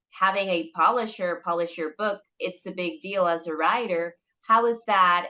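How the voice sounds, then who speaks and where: A woman from the Republic, interviewing a guest and pausing often on "um". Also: fairly low pitch, sharp tone. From Dominican Republic. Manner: hesitant, many "um" pauses. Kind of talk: interview questions.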